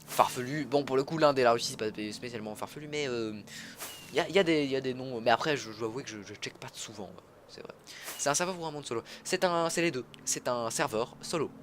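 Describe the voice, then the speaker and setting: A man's voice: medium pitch, fast talker, fairly bright tone, a little hiss or hum. A young man from Alsace, chatting casually while streaming a game, greeting viewers and correcting himself mid-sentence. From Alsace, France. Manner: chatty, self-interrupting, greets chat viewers. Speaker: livestreamer. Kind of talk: casual gameplay livestream commentary. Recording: music playing behind the voice.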